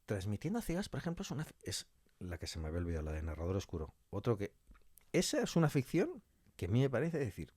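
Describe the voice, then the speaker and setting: A man's voice: lowish voice, darkish tone, no echo, clean studio sound. A man with a Spanish accent from Spain chatting casually and colloquially as a podcast guest, often repeating phrases for emphasis. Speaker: interview guest, audio fiction scriptwriter.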